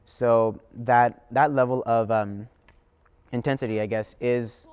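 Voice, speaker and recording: A man's voice: mid-range voice, steady tone, slow pace, darkish tone, clean tone. A teenage young man, a student actor, giving casual answers in an interview. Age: teens.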